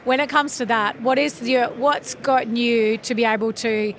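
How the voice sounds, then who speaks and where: A woman's voice: a little high, steady tone, normal speed, a bit bright. A woman hosting a podcast interview at a trade conference, chatty and enthusiastic.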